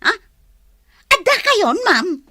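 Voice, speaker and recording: A woman's voice: very high-pitched, very animated, slow, bright, forceful tone, no echo, heavily acted. A woman playing a flustered, giggly housemaid with a teasing manner in a radio drama. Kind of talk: Philippine radio drama acting.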